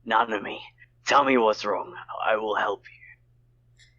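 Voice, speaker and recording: A man's voice: on the low side, steady tone, takes it slowly, sharp tone, muffled recording, slight background noise. A man playing an earnest, reassuring visual novel hero in an amateur read-aloud.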